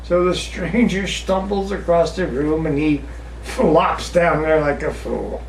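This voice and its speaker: A man with a high voice performs a dramatic ballad recitation. His delivery is slow and theatrical, with pauses for effect. It is picked up from a distance in a roomy space. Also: vocal fry. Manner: theatrical, drawn-out, expressive storytelling with pauses. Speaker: poetry reciter. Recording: roomy, distant mic with faint noise.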